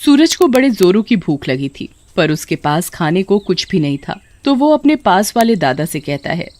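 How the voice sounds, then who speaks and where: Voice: mid-range voice, big pitch swings, normal speed, darkish tone, no echo. A narrator reading a scripted children's story in a dramatic storytelling manner.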